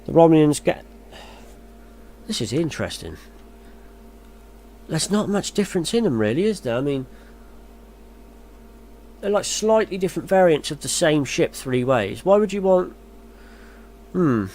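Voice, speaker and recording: A man's voice: on the high side, very animated, unhurried, pauses often, a little mellow, a little hiss or hum. A man reading gaming news aloud as a YouTuber, then musing in a hesitant, chatty way. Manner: muses aloud, hesitant and chatty when commenting. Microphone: voice-over on a screen recording.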